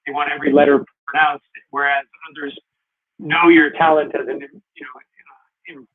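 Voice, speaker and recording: A man's voice: a little high, flat delivery, moderate pace, a crowd in the background. Man on a tinny phone-like line. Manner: rambling, stumbling restarts. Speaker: talk show host. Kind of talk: casual interview.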